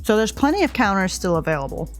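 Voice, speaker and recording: A woman talks through her building session in an easygoing, rambling voice-over, her nose a little blocked from allergies. She hesitates often and circles back on her thoughts, at an ordinary middle pitch. Soft music plays faintly behind her. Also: sing-song, fast talker. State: stuffed up from allergies. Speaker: game builder narrating a speed build. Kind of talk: casual voice-over commentary.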